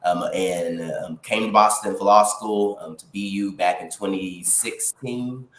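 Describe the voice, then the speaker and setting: A man in his thirties with a Texan accent, a guest speaking in an earnest, measured way with many pauses. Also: deep voice, fairly bright tone, slight background noise. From Texas. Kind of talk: casual interview talk. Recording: echoey room.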